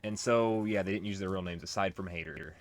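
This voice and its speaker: A man's voice: low-pitched, brisk. A man doing casual, rambling video game commentary as a let's play host, informal and nostalgic. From United States. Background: video game music.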